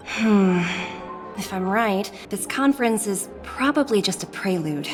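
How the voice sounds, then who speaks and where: Game role: actress as shrewd young female director, mid-pitched, crisp and assured, prominent music behind. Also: animated, measured pace, lightly acted. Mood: shrewd, composed. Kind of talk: video game voice acting.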